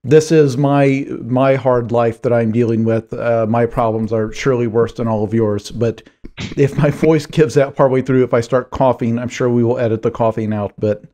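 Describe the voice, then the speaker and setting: A hoarse man in his forties, a guest author, chatting in a rambling, self-deprecating way on a video call from a small home office. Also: mid-range voice, steady tone, moderate pace, warm tone. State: hoarse, strained voice. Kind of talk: video call podcast chat. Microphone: headphones, desk mic on video call.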